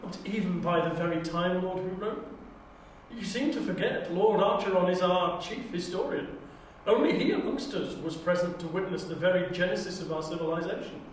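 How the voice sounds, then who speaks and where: A man's voice: high-pitched, a little fast, natural voice. A man playing a grandiose, scornful Time Lord councillor with pompous, declamatory delivery for an audio drama audition. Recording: very echoey room, distant mic, faint noise.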